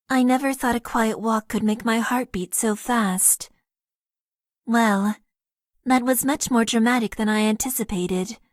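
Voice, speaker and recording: A shy, stiff young mecha pilot. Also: female voice, on the high side, no room sound, clean studio sound. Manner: soft-spoken, stiff and literal. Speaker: voice actress. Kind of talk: game character voice lines.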